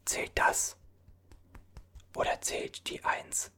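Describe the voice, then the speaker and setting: A performer whispering as a bewildered time traveler from an older era, puzzling over strange objects in a halting voice full of restarts. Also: pushed and bright. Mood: puzzled. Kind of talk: whispered ASMR roleplay.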